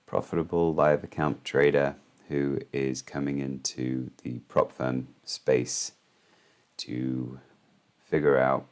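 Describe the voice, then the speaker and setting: A hoarse man hosting a trading channel, walking through the morning's market in casual commentary, halting with frequent ums and restarts. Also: very deep voice.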